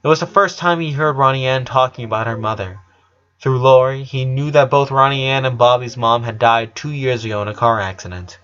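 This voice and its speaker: Male story reader, mid-pitched, occasional slips in the reading, muffled lo-fi sound. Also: fairly even intonation, fairly quick, a bit bright, clear, resonant tone. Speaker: audiobook narrator of fan fiction. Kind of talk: scripted story narration. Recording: dull lo-fi sound.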